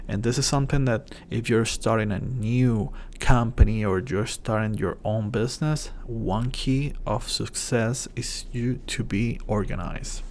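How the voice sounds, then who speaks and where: A native Spanish-speaking man with a Puerto Rican accent hosts a casual tech tips vlog in English. His gravelly, creaky voice sits at an average pitch, and he often pauses on fillers and restarts his sentences. A faint hiss of background noise sits under the recording. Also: a little mellow. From Puerto Rico. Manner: hesitant, many fillers and restarts. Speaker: channel host.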